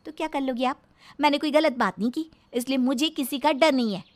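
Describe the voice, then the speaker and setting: A woman acts out a quarrelsome young daughter-in-law from a domestic storybook tale. She is arrogant and boastful, with a high voice and a taunting, haughty delivery. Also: a little fast, natural voice. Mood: defiant.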